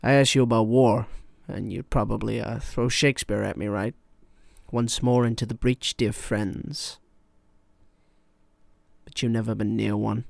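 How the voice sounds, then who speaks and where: A man playing a weary, wounded therapist in a film drama monologue, speaking in a measured, pointed and confrontational manner. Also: lowish voice, steady tone, vocal fry, a little mellow, dry recording. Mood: pointed, restrained.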